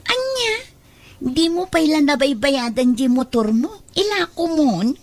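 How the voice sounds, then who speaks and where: A woman's voice: sits high, big pitch swings, unhurried, full character voice. A woman playing a practical female friend in an audio drama, talking persuasively as she gives advice. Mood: surprised. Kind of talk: Ilocano audio drama dialogue.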